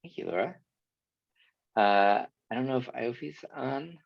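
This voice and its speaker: A man's voice: medium pitch, lively intonation, creaky, dry recording. A man hosting an online leadership session, calling on people by name with a friendly, apologetic manner. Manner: friendly, apologetic, quick asides. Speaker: host of an online workshop. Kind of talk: facilitating a video call. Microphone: video call. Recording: dull lo-fi call audio.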